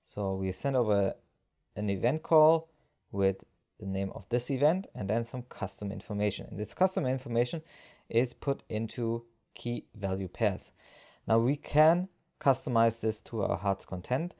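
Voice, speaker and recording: A man narrating a step-by-step software screencast tutorial as an instructor, explaining each action as he goes. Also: medium pitch, expressive, moderate pace.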